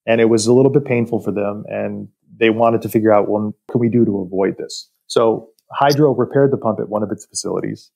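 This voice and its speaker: A man speaking as an expert podcast guest, explaining industrial work in a measured, technical way. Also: fairly low pitch, gravelly, a little mellow. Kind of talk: explanatory interview talk about industry.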